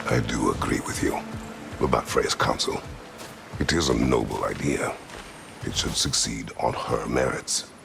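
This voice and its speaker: Stern, solemn war god, extremely deep and heavily gravelled, terse delivery for a game cutscene. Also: male voice, music in the background, exaggerated voice. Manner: terse, measured, formal. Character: stern, solemn middle-aged warrior god of war, weary. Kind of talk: video game cutscene acting.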